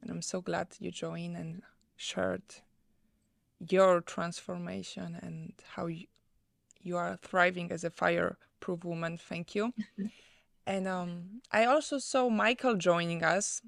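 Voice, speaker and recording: A woman with a Polish accent hosting a live online event, warm, with soft laughs and fillers. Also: on the low side, fairly even intonation, slow pace, creaky, no echo, clean studio sound. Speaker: host.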